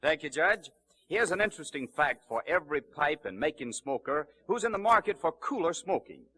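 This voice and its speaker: A man's voice: on the high side, big pitch swings, a little fast, bright, forceful tone, breathy edge. A man announcing a live 1930s radio show from a script, with an emphatic, promotional delivery, on a vintage broadcast recording.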